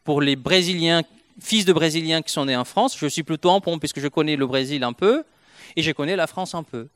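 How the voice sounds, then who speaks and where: A man's voice: fairly high pitch, a bit bright, no room sound. Earnest man giving a church testimony. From Brazil. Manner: earnest, halting with frequent restarts. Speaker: church speaker.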